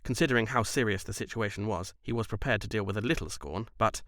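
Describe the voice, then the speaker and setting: A man's voice: lowish voice, fast, fairly bright tone, no echo, clean studio sound. A man reading scripted story narration in an audiobook style, as the narrator of a fan dub.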